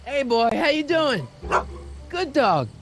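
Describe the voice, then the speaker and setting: A friendly teenage boy in a video game. The voice is high and boyish, with lively rises and drops. He sounds casual, curious and a little wry. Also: slow pace, heavily acted. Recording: music under the voice.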